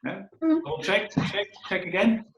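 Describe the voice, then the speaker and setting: A man's voice: sits high, sing-song, moderate pace, a bit bright. A man whose first language is Dutch teaches children over a video call in a friendly way, often repeating words as he searches for them. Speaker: workshop instructor. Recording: roomy echo.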